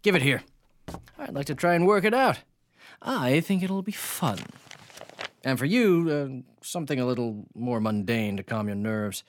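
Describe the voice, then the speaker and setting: A man's voice: mid-range voice, lively intonation, moderate pace, slight background noise, natural voice. An actor playing a breezy, skeptical young man in a radio drama, casual and flippant with a teasing edge. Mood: dismissive, teasing.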